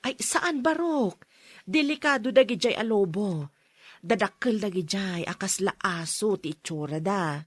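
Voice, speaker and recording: A woman playing a worried, scolding mother with a husky voice in a Filipino audio drama. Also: mid-range voice, lively intonation, unhurried, no echo, clean studio sound.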